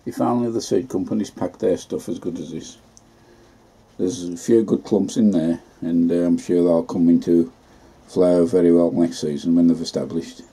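A man's voice: low voice, fairly even intonation, normal speed, warm tone, slightly rough, rough recording. A man, chatting casually as he shows his allotment work in a greenhouse. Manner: chatty, homely, plain-spoken. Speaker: allotment vlogger. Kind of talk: casual gardening vlog narration.

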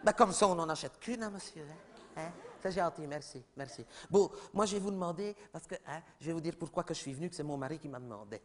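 A man's voice: on the high side, lively intonation, quick pace. A man voicing a chatty, oblivious middle-aged mother, rambling and gossipy in a comedy sketch. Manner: rambling, gossipy, repeats "oui, oui", talks over others.

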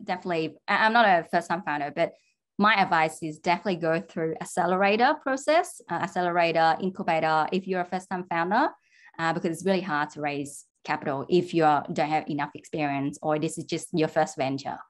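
Low-voiced woman founder on a video call. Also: fairly quick. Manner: hesitant, many fillers and restarts. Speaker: startup founder. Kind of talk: panel discussion answer. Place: home room with virtual background.

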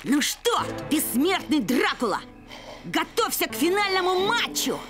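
Very high, swooping, brash cartoon youngster. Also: female voice, sing-song, a little slow, full character voice. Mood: teasing. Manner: teasing, theatrical exclamations. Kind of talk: children's cartoon voice acting. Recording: music behind the dialogue.

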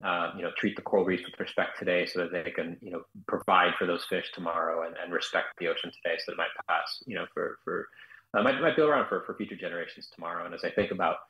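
A man's voice: sits low, quick pace, pushed and bright, echoey room, low-quality recording. A man from South Florida, a guest entrepreneur answering interview questions with many fillers and restarts.

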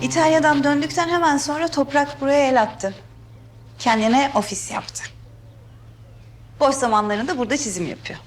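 Actress as affectionate older aunt, higher voice. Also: female voice, fairly even intonation, moderate pace, long pauses, background noise, lightly acted. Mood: confiding. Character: affectionate, dismissive middle-aged aunt figure. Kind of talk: TV drama acting, naturalistic dialogue. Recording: clear music under TV drama dialogue.

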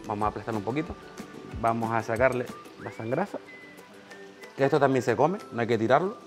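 A man's voice: mid-range voice, brisk, faint music behind. A man, a chef teaching a cooking class in a small kitchen and guiding an assistant step by step. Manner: practical, directs an assistant at his side. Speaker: chef giving a cooking demonstration.

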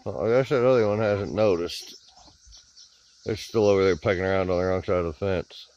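A man's voice: sits low, a little fast, darkish tone, smooth. A man narrating a casual backyard vlog while filming his chickens outside, muttering wry remarks at the flock. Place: outdoors in a rural backyard with chicken coops. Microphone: handheld camera. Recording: dull lo-fi camera audio with clucking behind.

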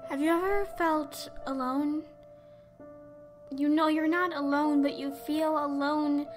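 A teenage girl voicing a lonely, anguished young girl in a halting, emotional dramatic monologue. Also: high voice, steady tone, soft background music. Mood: lonely, dejected.

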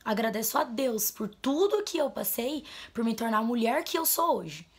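A young woman, talking into a camera in a small bedroom. Her voice sits a bit high, and she is blunt and emphatic. She drops low, then turns loud to drive a point home. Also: big pitch swings, normal speed, breathy edge. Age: 20s. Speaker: vlogger. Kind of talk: heartfelt vent to camera. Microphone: camera mic at close framing.

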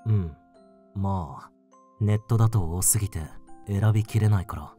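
A man playing an awkward, low-key young office senior with dry, understated delivery in an anime-style voice drama. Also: normal speed, faint music behind, lightly acted. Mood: dry, grumbling. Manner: deadpan, understated.

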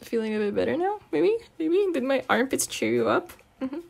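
A woman playing a giggly, teasing, affectionate girlfriend in an intimate ASMR roleplay, non-native in English, with soft, casual, rambling talk. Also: high voice, very animated, normal speed, gravelly, slight background noise, heavily acted. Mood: teasing, comforting. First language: not English. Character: giggly, teasing, affectionate gamer girlfriend comforting her partner.

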